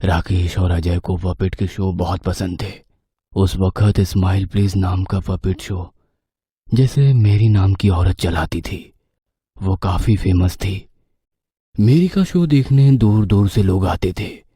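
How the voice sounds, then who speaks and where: A man reading a scripted horror story as its narrator, with a husky voice. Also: deep voice, slow pace, mellow, no room sound, clean studio sound.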